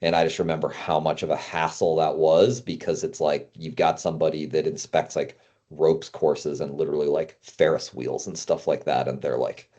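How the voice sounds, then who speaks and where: Very deep-voiced man, casual business talk. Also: little pitch movement, moderate pace, dark tone. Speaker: guest consultant on climbing gym business.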